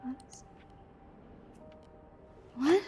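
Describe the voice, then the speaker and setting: A woman playing an excitable, geeky teenage girl who casually mutters to herself in a video game. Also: extremely slow, faint music behind. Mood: focused muttering. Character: excitable teenage girl hacker and superhero fan.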